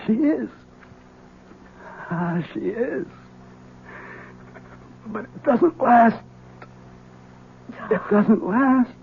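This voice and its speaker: An actor playing a bitter, armed man with a menacing, slow manner in a 1970s radio drama. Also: extremely high pitch, full character voice. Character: armed, bitter man dying after a shooting. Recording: tinny old radio broadcast with faint noise.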